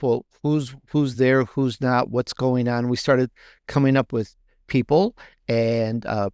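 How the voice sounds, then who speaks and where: A man's voice: medium pitch, a little mellow, no echo, studio quality. A man talking conversationally as an interview guest, repeating and rephrasing himself as he explains. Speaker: podcast guest, author and podcaster.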